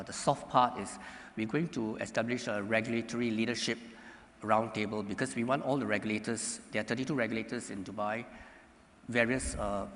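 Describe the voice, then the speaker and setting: A man from Singapore, speaking as a panelist in a measured, halting way with many fillers. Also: fairly low pitch, fairly bright tone. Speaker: panelist, government economy official. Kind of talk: conference panel answer. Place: conference hall panel stage. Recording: reverberant conference hall.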